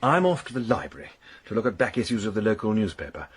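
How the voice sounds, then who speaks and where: A man's voice: fairly low pitch, big pitch swings, fast, husky, heavily acted. An actor playing a genteel middle-aged retired army man in a radio drama, polite, fussy and slightly flustered.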